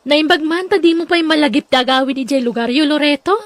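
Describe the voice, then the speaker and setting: A woman's voice: sits high, a little fast, close to an everyday voice. A woman playing a fussing, scolding elder sister in an Ilocano audio drama, speaking with an Ilocano accent. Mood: friendly, fussing. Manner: chiding, fussing.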